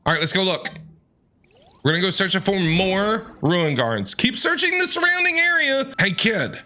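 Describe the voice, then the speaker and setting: Guy streamer doing game reactions, high bright voice, playful n theatrical. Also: sing-song, normal speed. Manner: playful, theatrical, talks back to characters. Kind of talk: gameplay reaction commentary.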